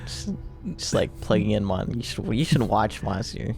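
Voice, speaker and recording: A man's voice: on the low side, moderate pace, vocal fry, music in the background. A man hosting a let's-play with casual, joking banter, swearing freely and often stammering. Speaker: host of gaming video.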